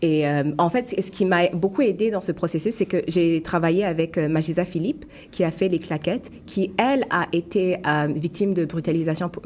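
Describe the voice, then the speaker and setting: Guest actress, young, non-Québécois French accent, a bit low-pitched, reflective and halting. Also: female voice, a little mellow, a little hiss or hum. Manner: thoughtful, hesitant, many restarts and fillers. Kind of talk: casual interview chat.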